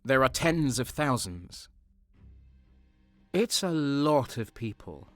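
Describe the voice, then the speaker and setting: A man's voice: mid-range voice, sing-song, slow pace, no room sound, soft background music. An actor playing a cynical, cold and sadistic soldier who narrates his crimes in a brooding, sardonic way in an audio drama.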